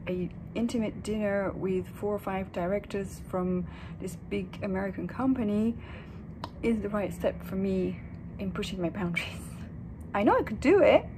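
A young woman talks casually into a phone camera in a hotel room. Her voice is soft, thoughtful and a little hesitant, with a gravelly fry at the ends of phrases. A steady hiss of room noise sits behind her. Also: mid-range voice. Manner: soft, reflective, hesitant with fillers. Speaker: vlogger. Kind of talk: casual vlog talk to camera.

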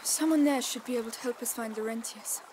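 A woman's voice: on the high side, fast, background noise, lightly acted. A young woman playing an urgent, protective teenage girl. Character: determined teenage girl protecting her brother. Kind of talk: video game cutscene acting. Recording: game audio with music.

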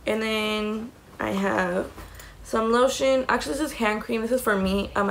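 A young woman with a raspy voice, chatting casually as she shows the contents of a bag to the camera in a small room, rambling with many fillers. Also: mid-range voice. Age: young adult. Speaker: mom vlogger. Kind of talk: casual show-and-tell vlog. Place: small indoor room against a plain wall.

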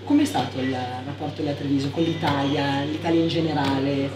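A man's voice: fairly high pitch, steady tone, a little fast. A young man conducts a sports interview with long, deferential questions. His voice is roomy and set back from the microphone, with music behind it. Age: young adult. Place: indoor lounge, seated on a sofa. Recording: echoey room, distant mic, music underneath. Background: background music.

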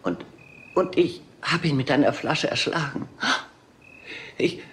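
A proud, aging film diva in a radio crime play, haughty and quick to take offense. Her pitch rises and falls in an expressive, lively way. A faint hiss runs behind the voice. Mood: confessing. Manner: haughty, indignant. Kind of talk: German radio crime drama.

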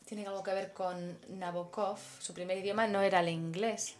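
A woman working as a simultaneous interpreter at a public talk. She speaks in measured phrases and pauses while she searches for the next word. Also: medium pitch, steady tone.

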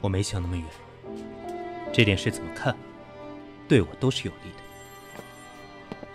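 Guy acting proud cold businessman, low voice, restrained radio drama style, music under it. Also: a little slow. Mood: composed, firm. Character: guarded, proud businessman facing a former lover. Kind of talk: Chinese audio drama acting.

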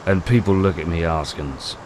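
An actor playing a roguish, wry pirate captain in a video game, with a sardonic, theatrical delivery. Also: male voice, sits low, moderate pace, slight background noise, close to an everyday voice, rough recording. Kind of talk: scripted video game cutscene acting.

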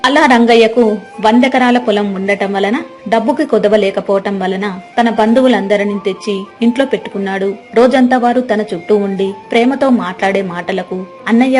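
A woman's voice: medium pitch, darkish tone. A woman narrating a scripted moral story as its storyteller.

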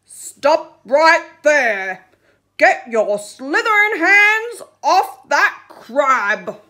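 A woman's voice: a little high, big pitch swings, takes it slowly. A woman doing a haughty arty shark. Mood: indignant. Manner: indignant, lofty.

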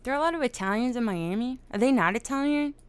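Woman, podcast host, casual, profane, animated, smooth voice, dry close mic. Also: on the high side, quick pace, no room sound. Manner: animated, swears often, laughs while telling story. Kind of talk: casual live-stream discussion.

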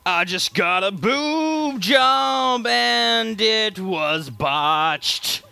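A man's voice: sits high, expressive, very slow, gravelly, sharp tone, smooth. A man co-hosting a gaming video in casual banter, often flustered, giggly and playfully protesting. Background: video game music.

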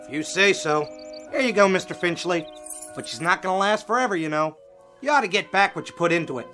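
High-voiced, blunt working guy. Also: brisk, sharp tone, faint music behind. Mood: haggling. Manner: slangy, blunt, working-class talk. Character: blunt, slangy working-class regular guy. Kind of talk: radio drama acting.

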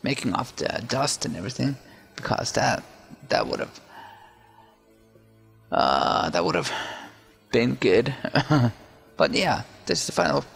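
A man casually narrating his own digital painting in a relaxed, hesitant way, full of fillers and restarts, over faint music. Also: medium pitch, slow, vocal fry, long pauses, slightly rough.

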